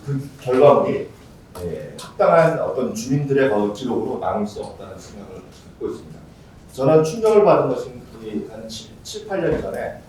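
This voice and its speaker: Middle-aged male discussant, earnest and measured with fillers, distant and heavily reverberant hall sound with faint hum. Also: medium pitch, darkish tone. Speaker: conference discussant. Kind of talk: formal panel discussion remarks. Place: large conference hall. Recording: very echoey hall, voice from far off, faint room hum.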